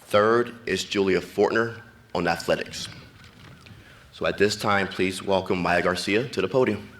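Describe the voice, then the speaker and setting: A deep-voiced man reads out graduation honors in a measured, formal manner at a podium mic. A faint hum of the crowd hall sits behind him. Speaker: school official announcing honors. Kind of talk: formal ceremony announcements. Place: large ceremony venue.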